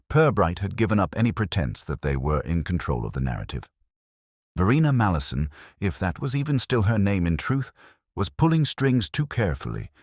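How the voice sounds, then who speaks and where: A man's voice: low voice, no echo, studio quality. A man reading scripted narration of a detective mystery audiobook.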